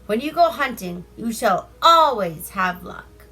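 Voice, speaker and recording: A woman's voice: fairly high pitch, very animated, measured pace, bright, forceful tone, breathy edge, soft background music, exaggerated voice. A woman voicing a timid, pleading male raven bargaining in a folk tale. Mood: begging.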